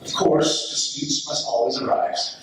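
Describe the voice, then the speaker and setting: A man's voice: fairly high pitch, fairly even intonation, measured pace, lightly acted. A man playing a British soldier reading his letter home from the trenches in a readers theater performance. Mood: earnest, reflective. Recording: very echoey hall, distant mic, noisy.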